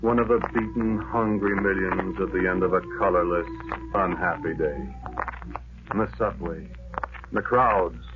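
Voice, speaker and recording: A man's voice: lowish voice, lightly acted. A man playing a haunted, lovelorn war veteran who narrates his weary life in a brooding, poetic style of 1940s radio drama. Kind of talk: 1940s radio drama acting, intimate first-person narration. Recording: noisy, muffled old radio recording.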